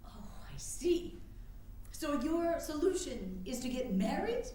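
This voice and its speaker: A woman acts out a skeptical nineteenth-century suffragist on stage, high-voiced and very lively in pitch. She questions theatrically in a strongly echoing room. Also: slow, full character voice. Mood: incredulous. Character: astonished, skeptical 19th-century suffragist confidante. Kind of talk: staged reading, theatrical period dialogue. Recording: very echoey room, faint background noise.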